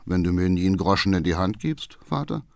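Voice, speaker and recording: A man's voice: on the low side, very animated, moderate pace, dry recording, clean studio sound. A man voicing a sullen, rebellious teenage son in an audiobook, bitter and defiant.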